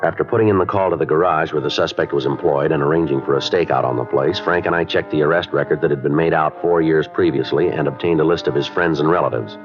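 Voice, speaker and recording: An actor playing a terse, businesslike police detective in a 1950s radio drama, speaking in a deadpan, clipped, matter-of-fact way. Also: male voice, rumbling low, fast, few pauses, over music. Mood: flat, factual. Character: terse, businesslike male police detective, narrating his cases. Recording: dull old radio recording.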